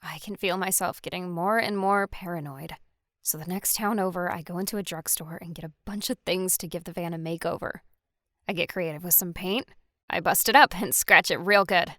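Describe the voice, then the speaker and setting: A woman playing a defiant young punk who tells a fugitive road story in a slangy, conversational way, in an animated fan drama. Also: on the low side, no echo, clean studio sound, close to an everyday voice. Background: background music.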